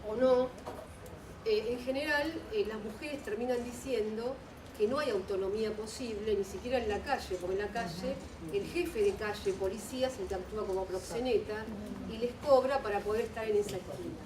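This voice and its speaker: A woman's voice: a little high, a little fast, background noise. Argentine woman, audience member pressing a question, echoey hall, distant mic. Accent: Argentine Spanish. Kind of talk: panel discussion question.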